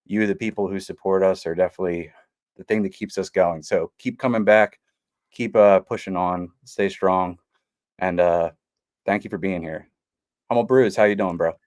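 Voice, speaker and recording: A man with a low, deep voice hosts a casual podcast chat. He talks in a laid-back way with plenty of fillers. The recording sounds close and dry. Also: fairly even intonation, moderate pace, a little mellow, no echo. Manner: laid-back, many fillers, calls guest bro. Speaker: podcast host.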